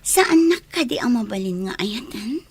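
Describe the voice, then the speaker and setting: A woman's voice: on the high side, lively intonation, normal speed, slightly rough. An actress playing a haughty, scornful rich young woman in an Ilocano radio drama, theatrical and taunting. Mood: pleading. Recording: loud music bed behind voice.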